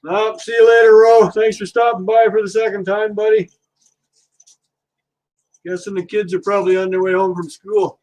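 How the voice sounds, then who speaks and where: A man's voice: high voice, little pitch movement, normal speed, pauses often, darkish tone, low-quality recording. A man hosting a casual livestream, chatting easily with viewers while he works on scrap. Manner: easygoing, chatty, talks to viewers while working. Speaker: livestream host.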